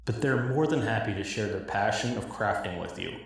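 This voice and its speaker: A man speaks in a fairly low register. He delivers scripted, dramatic monologue in the style of a game cutscene parody. The sound is strongly reverberant and roomy. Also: expressive, brisk, gravelly, far from the mic. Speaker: cosplay builder acting in own parody cutscenes. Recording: echoey, roomy recording.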